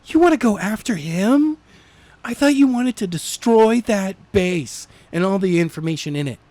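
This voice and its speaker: A man's voice: sits high, animated, normal speed, darkish tone, dry recording, voice pushed up. A man voicing a vengeful, impatient young woman who argues indignantly, in an amateur audiobook reading. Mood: incredulous.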